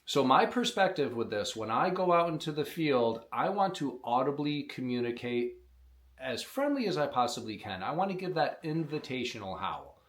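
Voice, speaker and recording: A man's voice: mid-range voice, big pitch swings, normal speed. Plainspoken man teaching a hunting call. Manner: plainspoken, explains step by step to beginners. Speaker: call maker teaching predator calling. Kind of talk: instructional demonstration.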